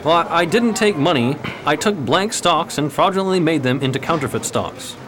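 A man playing a courtly, uneasy gentleman gambler in a 1920s period radio drama, speaking formally. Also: a little high, lively intonation, normal speed, noisy background, natural voice. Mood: uneasy, confessing.

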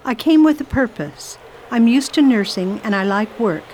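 A woman's voice: fairly high pitch, expressive, moderate pace, a little mellow, a little hiss or hum, close to an everyday voice, low-quality recording. A woman playing a determined volunteer nurse in a radio play, formal, forthright and insistent.

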